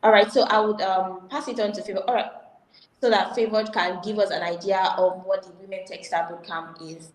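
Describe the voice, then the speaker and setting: A woman's voice: mid-range voice, fairly even intonation, fairly quick, a little hiss or hum. A Nigerian woman hosting a live online info session, welcoming viewers and reading out their comments. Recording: roomy echo.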